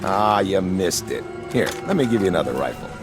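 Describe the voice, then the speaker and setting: A man playing a pushy, chummy carnival shooting-gallery operator in a radio drama, with a gravelly voice. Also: low-pitched, sing-song, fairly quick. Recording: radio drama with music behind.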